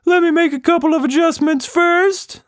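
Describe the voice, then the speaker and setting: A man voicing an excitable, boastful, playful monster with casual, slangy talk in a children's story. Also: very high-pitched, normal speed, no room sound, clean studio sound, voice pushed up. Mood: bossy, playful.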